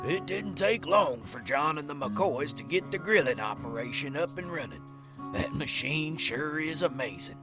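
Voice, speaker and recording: Animated folksy male storyteller, higher-pitched voice. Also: normal speed, over music. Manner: animated, folksy, conversational asides. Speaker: narrator and lead player of a comedy audio drama. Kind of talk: folksy storytelling narration in a comedy audio drama.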